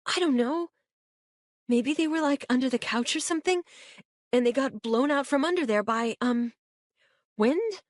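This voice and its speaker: Lady reading as a nervous awkward teen girl, high and rambly, dry close sound. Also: moderate pace, long pauses, no echo, lightly acted. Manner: hesitant, rambling with fillers. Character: anxious teenage girl, awkward and defensive.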